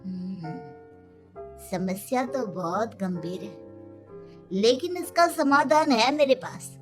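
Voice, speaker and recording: A woman's voice: fairly high pitch, expressive, slow, over music. A woman voicing a helpful, confident young man, the hero's friend, in a children's cartoon. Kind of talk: Hindi children's cartoon dubbing.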